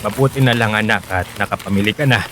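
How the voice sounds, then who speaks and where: Man as low-voiced mild father. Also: fast, music in the background, lightly acted. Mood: mild, curious. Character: mild middle-aged father of grown children. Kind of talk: Filipino radio drama acting.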